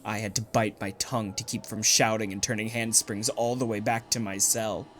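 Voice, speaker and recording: A man's voice: fairly low pitch, little pitch movement, normal speed, a bit bright, slight background noise, natural voice. A man playing a desperate condemned poet in a dystopian audio drama, with an intense, dramatic delivery. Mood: grim recounting. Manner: intense, pleading, stammering when distressed. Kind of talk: dramatic audio drama acting with first-person narration.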